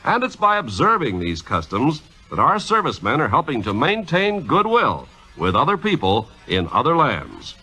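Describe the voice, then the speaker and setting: Male vintage radio narrator: formal, declamatory, very animated, crisp bright tone. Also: medium pitch, normal speed, hardly any pauses, soft background music, rough recording. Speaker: radio intermission speaker. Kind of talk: 1950s scripted radio narration.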